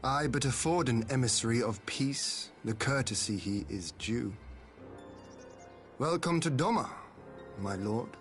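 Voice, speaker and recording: An actor playing a proud, dignified lord in a video game, speaking in a formal and stately manner. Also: male voice, medium pitch, animated, a little slow, faint music behind, natural voice. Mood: gracious, formal. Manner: stately, formal, archaic phrasing. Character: proud, dignified male lord, gracious and composed. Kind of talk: scripted game dialogue.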